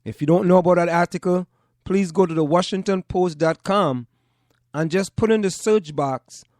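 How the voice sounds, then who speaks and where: A radio host from Trinidad and Tobago with a somewhat high-pitched male voice. He delivers his monologue in measured, short phrases broken by pauses. The sound is close and dry, with no room echo. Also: darkish tone. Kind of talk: call-in radio talk monologue.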